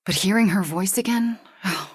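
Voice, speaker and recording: A woman voicing a haunted young sorceress superheroine in a video game, earnest and wry, with a soft, breathy voice. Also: mid-range voice, normal speed, no room sound, studio quality, close to an everyday voice. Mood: solemn.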